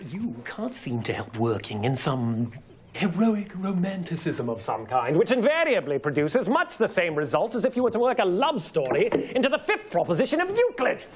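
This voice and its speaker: A man playing a pompous, fastidious Victorian detective, wordy and scornful in his delivery. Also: high voice, wildly swooping pitch, slight background noise. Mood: disdainful.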